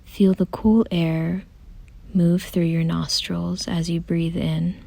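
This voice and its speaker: A woman softly guiding a meditation in a gentle, calm, unhurried way, often close to a whisper. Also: fairly low pitch, no echo. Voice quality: soft, breathy, often near a whisper. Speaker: meditation guide. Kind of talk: soothing guided meditation, scripted.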